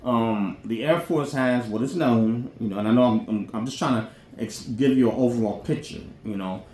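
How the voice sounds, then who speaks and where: A man's voice: medium pitch, normal speed, a little mellow. A man talking off the cuff as a podcast host, explaining things in a rambling, colloquial way with frequent check-in phrases. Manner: rambling, colloquial, frequently says "you know what I'm saying". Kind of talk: informal off-the-cuff monologue explaining military careers. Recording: roomy echo, faint background noise.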